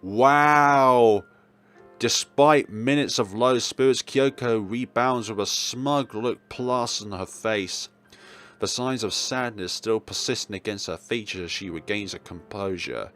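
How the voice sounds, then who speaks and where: A man's voice: on the low side, a little slow, fairly bright tone, faint music behind. A man hosting a let's play, reading dramatic narration aloud with casual, jokey asides.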